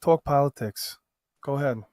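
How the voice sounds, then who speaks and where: A man co-hosting a casual livestream chat, brash and profane, with sudden loud jumps. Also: medium pitch, normal speed, no room sound, clean studio sound. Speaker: livestream co-host.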